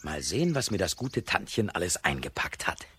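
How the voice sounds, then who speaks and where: Lazy, contented farm lad, animated pitch. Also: male voice, normal speed, breathy edge, exaggerated voice. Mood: contented, drowsy. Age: young adult. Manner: leisurely, self-satisfied. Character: lazy, food-loving seventeen-year-old Russian farm lad, easygoing. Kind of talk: children's radio play acting.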